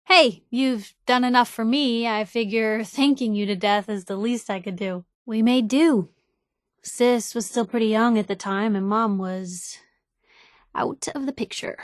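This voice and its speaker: A woman's voice: a little high, fairly even intonation, normal speed, dry recording, clean studio sound, lightly acted. An actress with an American accent playing a feisty, nosy young woman reporter as a game companion, wry, snappy, informal and emotionally direct. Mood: warm, grateful, then candid, subdued. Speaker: video game companion character. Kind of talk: acted game dialogue.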